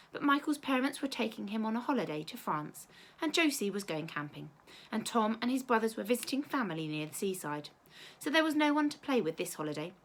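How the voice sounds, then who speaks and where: A woman's voice: medium pitch, fairly bright tone. Woman reading a children's story aloud. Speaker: teacher reading aloud to pupils.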